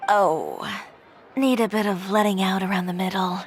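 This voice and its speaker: A woman voicing a poised, self-assured young fashion designer who speaks calmly and matter-of-factly with dry teasing. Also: medium pitch, normal speed, a little hiss or hum, close to an everyday voice, rough recording. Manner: calm, composed, matter-of-fact with dry teasing. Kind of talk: game cutscene dialogue. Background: game soundtrack music.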